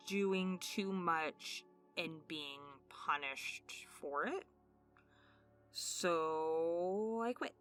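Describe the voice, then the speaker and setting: Woman with a slightly low voice chatting casually to her listeners, candid n giggly, faint music behind. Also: a bit bright. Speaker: ASMR channel host. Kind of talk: casual personal update to listeners.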